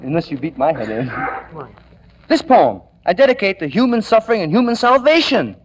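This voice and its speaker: A dull, slightly noisy archival tape of a man with a high, animated voice. He declaims like a mock-grandiose poet, rambling and slipping into banter. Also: moderate pace, slightly rough. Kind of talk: informal, rambling poetry recital.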